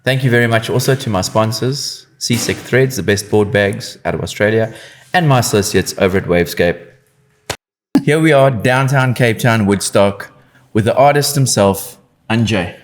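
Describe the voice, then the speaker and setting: Lower-pitched, effusive male interview host. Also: normal speed, a little hiss or hum. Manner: effusive, praising. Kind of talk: enthusiastic interview hosting.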